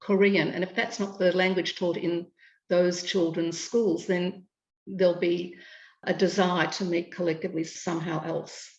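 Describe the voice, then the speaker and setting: A woman in her sixties with an Australian accent, an educator explaining things in a measured, informative way over a video call from home. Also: on the low side, level tone. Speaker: educator and curriculum consultant. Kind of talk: video call interview answers. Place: home room, indoors. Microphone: webcam on a video call. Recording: echoey room over a dull video call.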